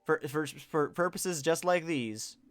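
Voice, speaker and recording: A man's voice: on the high side, measured pace, faint music behind. A man streaming a game with casual chat, reading on-screen text aloud and riffing jokingly on it. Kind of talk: casual livestream chat while playing a game.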